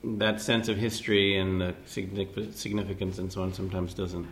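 A man's voice: low voice, flat delivery, normal speed, fairly bright tone, slight background noise. A man interviewing someone for an oral history, asking long, rambling questions full of restarts.

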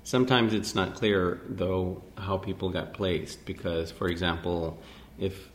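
Halting, low-voiced male interviewer. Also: fairly even intonation, slight background noise. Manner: rambling, halting questions with many restarts. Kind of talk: oral history interview.

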